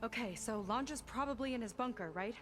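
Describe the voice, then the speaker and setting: A woman's voice: on the high side, brisk, music in the background, close to an everyday voice. A woman playing a sincere, capable young ally in a video game, earnest and matter-of-fact. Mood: resolute. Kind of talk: naturalistic video game dialogue acting.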